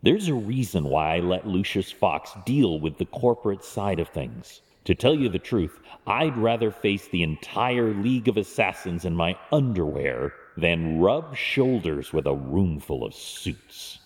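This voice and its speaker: An actor playing a brooding, driven masked vigilante in an audio drama, grave and measured. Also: male voice, low-pitched, very animated. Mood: grim resolve. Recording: long cavernous hall reverb.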